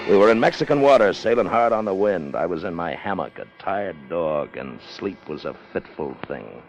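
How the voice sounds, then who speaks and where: An actor playing a hard, cunning first officer on a whaling ship in clipped, tough 1950s radio drama style. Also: male voice, low voice, animated, normal speed. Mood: brooding, grim. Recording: muffled old radio recording with music.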